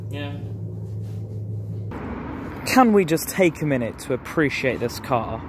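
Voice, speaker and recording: A young man with an English accent talks casually to his viewers. His voice sits a little higher than most men's. He is chatty and informal, speaking into a phone held at arm's length. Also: animated, slow pace, background noise. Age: 20s. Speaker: vlogger. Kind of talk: casual vlog chat to camera.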